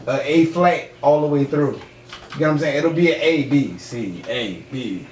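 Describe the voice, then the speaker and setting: An echoey, distant recording with faint noise carries a man's fairly high voice. He argues his points casually and emphatically, in slangy talk, repeatedly checking that he is understood. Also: moderate pace, a little mellow. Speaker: podcast guest, singer and rapper. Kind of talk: casual podcast debate.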